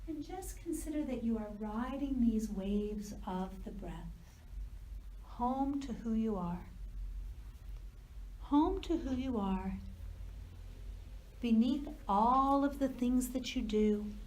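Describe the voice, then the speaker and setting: A woman leading a guided yoga nidra meditation as a teacher, speaking in slow, soothing, unhurried phrases with pauses between them. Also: fairly high pitch, expressive, a little mellow, poor audio.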